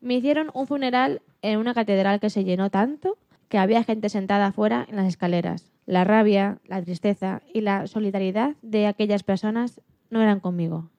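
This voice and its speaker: A woman's voice: mid-range voice, a little fast, mellow, no echo, highs cut off, natural voice. A woman playing an animal liberation activist and mother who tells her story earnestly in an acted radio interview, stumbling slightly now and then. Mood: earnest, solemn.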